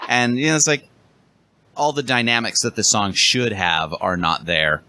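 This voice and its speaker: A man's voice: on the low side, moderate pace, pushed and bright. A man on a podcast panel in casual chat, wry and joking, breaking down songs in detail. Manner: wry, joking, analytical about music.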